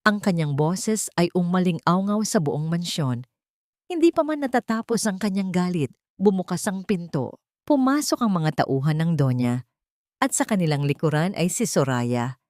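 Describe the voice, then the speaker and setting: A woman narrator with a slightly low voice tells a scripted melodrama. Her delivery is emotive and dramatic, and the sound is dry and close. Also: sing-song, normal speed, a little mellow, no room sound.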